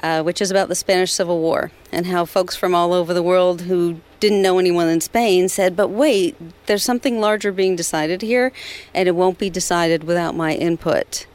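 An American woman with a fairly low voice speaks as an interview guest. She tells her story conversationally, with emphatic, repeated phrases. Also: steady tone, normal speed, a little mellow. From southern California. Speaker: interview guest, volunteer rescuer.

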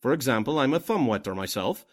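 A man's voice: medium pitch, lively intonation, fast talker, close to an everyday voice. A man playing a polite, kindly foreign doctor in a 1940s-style radio drama. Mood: courteous. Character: courteous, kindly Norwegian doctor, a visiting speaker.

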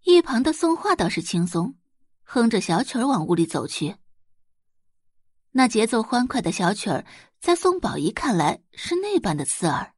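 Animated, husky-edged female narrator. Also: fairly high pitch, moderate pace, no room sound, clean studio sound. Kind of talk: dramatic scripted audiobook narration.

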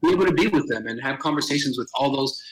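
A man's voice: medium pitch, animated, fast, poor audio. A middle-aged man from Miami, a theater director talking as a podcast guest, with frequent restarts and Spanish phrases mixed in. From Miami, United States. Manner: many restarts and fillers, sprinkles Spanish phrases. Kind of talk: casual interview conversation.

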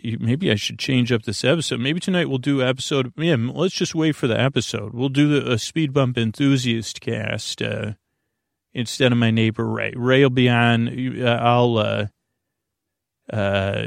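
A man with a gravelly, creaky voice, recorded very dry and close-sounding. He talks as a bedtime podcast host, drifting through halting, folksy tangents at a middling pitch. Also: no echo. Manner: meandering, halting, digressive, folksy. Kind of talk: rambling bedtime monologue.

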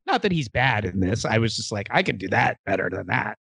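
A man's voice: lowish voice, fairly quick, gravelly, husky, no room sound, clean studio sound. A man in his forties, co-hosting a casual chat and telling stories with a joking manner. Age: 40s. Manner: joking, animated storyteller. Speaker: podcast co-host.

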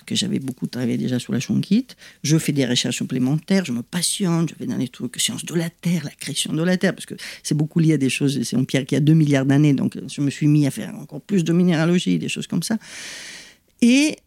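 A middle-aged woman from Italy, speaking French with an Italian accent as her second language, explaining things in a lively interview with many asides and restarts. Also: deep voice, creaky, dry recording, studio quality. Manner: animated and explanatory, frequent "tu vois" asides and restarts. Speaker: guest, lithotherapist.

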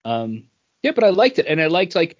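Halting man reviewing comics, dull recording. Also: on the high side, very animated. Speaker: podcast co-host reviewing comic books. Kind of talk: casual conversational review.

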